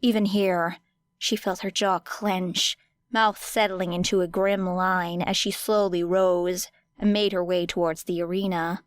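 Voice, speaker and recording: A woman reading an audiobook story dramatically, with deliberate, expressive phrasing and weighty pauses. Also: mid-range voice, dry recording. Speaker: audiobook narrator. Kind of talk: dramatic scripted fiction narration.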